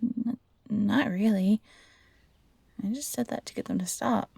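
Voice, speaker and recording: A woman's voice: mid-range voice, a little slow, gravelly, warm tone, husky, no echo, full character voice. A woman playing a loyal, feisty young fox hybrid, earnest and protective, in a fantasy audio roleplay. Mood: pleading, sheepish. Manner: earnest, defiant, pleading.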